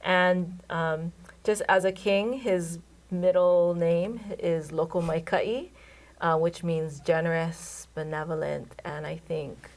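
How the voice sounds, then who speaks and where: Woman from Hawaii, low gravelly voice, measured and explanatory, interview guest. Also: fairly even intonation, darkish tone. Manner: measured, explanatory, many um fillers. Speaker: guest, care home CEO. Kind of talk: casual interview answers.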